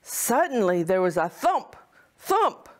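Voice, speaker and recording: Slow-paced woman storyteller. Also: medium pitch. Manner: slow, deliberate, expressive storybook delivery. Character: Mrs. Claus, kindly motherly wife of Santa. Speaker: storyteller playing Mrs. Claus. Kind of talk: children's storybook reading.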